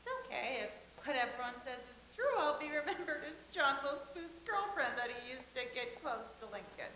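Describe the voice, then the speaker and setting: An actress playing a dramatic, self-absorbed young actress in a staged comedy reading. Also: female voice, high voice, expressive, normal speed, pushed and bright. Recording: echoey room with faint hiss.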